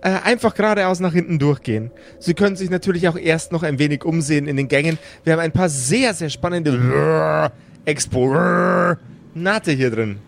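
A man's voice: on the high side, normal speed, creaky, slight background noise. A man voicing a polite, formal female zombie clerk in an improvised role-playing audio play, speaking with some hesitation. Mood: helpful, formal.

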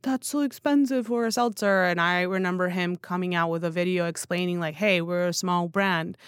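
A woman's voice: fairly low pitch, normal speed, clear, resonant tone, no room sound, studio quality. A woman talking casually as an interview guest, opinionated and emphatic, peppering her speech with "like" and "you know". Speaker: guest, newsletter writer and founder. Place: studio.

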